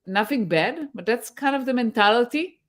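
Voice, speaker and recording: Israeli-born woman, halting and thoughtful. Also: on the high side, expressive. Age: middle-aged. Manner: thoughtful, hesitant with many fillers. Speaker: guest, tech mentor. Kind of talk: casual interview on a video call.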